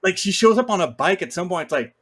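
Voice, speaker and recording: A man in a casual film discussion, talking bluntly and griping in an animated way with mild swearing. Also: sits high, fast. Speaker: podcast co-host.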